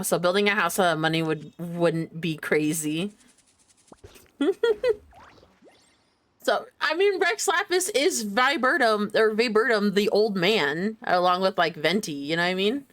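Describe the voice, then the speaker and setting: A woman's voice: fairly low pitch, a bit bright, no room sound. Playful, sweary woman streaming a game. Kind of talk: casual live game commentary.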